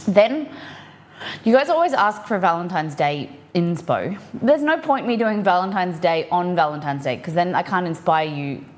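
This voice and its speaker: A woman hosts a casual cooking livestream in a somewhat low-pitched voice with a bit of a croak. She talks informally and expressively, wandering into asides as she addresses her viewers. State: croaky, dehydrated voice. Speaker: livestream host of a cooking show.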